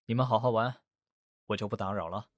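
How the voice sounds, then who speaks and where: A man's voice: on the low side, steady tone, brisk, a little hiss or hum, close to an everyday voice. A man playing a polite, hospitable elder brother in an audio drama. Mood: courteous. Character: courteous adult elder brother, an architect.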